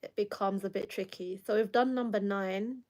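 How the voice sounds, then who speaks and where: A woman's voice: mid-range voice, fairly even intonation, normal speed, darkish tone. A young woman with a Kenyan accent who hosts a late-night livestream. She keeps up a casual chat, laughs often, reads viewer comments aloud, and coughs now and then from a lingering cold. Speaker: livestream host.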